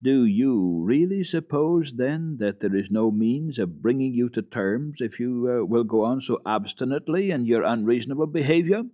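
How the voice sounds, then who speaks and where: A man's voice: medium pitch, expressive, normal speed, hardly any pauses, no echo, phone-quality sound, voice pushed up, natural voice. A man voicing an earnest village pastor in a storybook reading, admonishing yet friendly, with a hearty, preaching manner. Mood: stern, urging.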